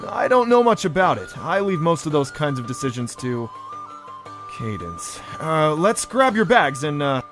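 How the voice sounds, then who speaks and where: Friendly modest prince stallion for cartoon audio drama male actor, higher-pitched and animated. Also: moderate pace, over music. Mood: friendly, easygoing. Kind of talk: fan audio drama acting.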